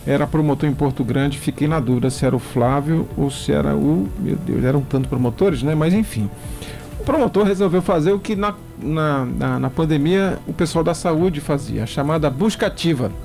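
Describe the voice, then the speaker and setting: A man hosts a relaxed talk show, warmly chatty and prone to reminiscing with restarts. His voice sits a touch higher than most men's. Faint music runs quietly behind him. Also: normal speed, gravelly. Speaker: radio or talk show host. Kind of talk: casual interview chat.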